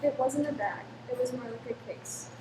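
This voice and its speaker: A woman's voice: high-pitched, moderate pace. A woman giving dramatic scripted narration for a radio play story. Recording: echoey, distant mic, clear noise.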